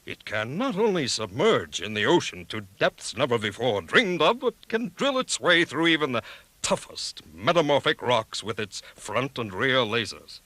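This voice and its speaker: Forceful, commanding general. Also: male voice, mid-range voice, big pitch swings, normal speed, dry recording, poor audio. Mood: proud, official. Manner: declamatory briefing delivery. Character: jovial, commanding senior male general. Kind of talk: audio drama acting.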